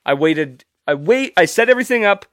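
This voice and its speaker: A man's voice: sits high, animated, a little fast, a bit bright, dry recording, studio quality. A young man hosting a solo podcast, stuffy and congested from allergies, talking casually and rambling with loose, profane humor. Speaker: podcast host.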